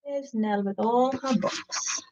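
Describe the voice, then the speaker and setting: A woman's voice: fairly high pitch, takes it slowly, darkish tone, rough recording. A woman from Trinidad doing a children's story time, reading expressively and talking casually straight to her young listeners.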